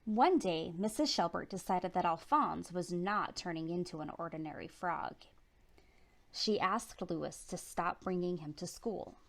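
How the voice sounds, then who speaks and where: A woman reading a children's picture book aloud as storytime narrator, with animated, dramatic emphasis. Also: lowish voice, measured pace, vocal fry. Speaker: storytime reader.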